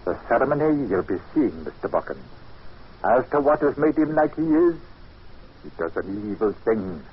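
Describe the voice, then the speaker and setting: A man's voice: mid-range voice, very animated, normal speed, breathy edge. A man acting a grave, foreboding servant in an old time radio drama, deferential but cryptic. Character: grave, foreboding Scottish male servant McJobson. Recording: dull, noisy old radio recording.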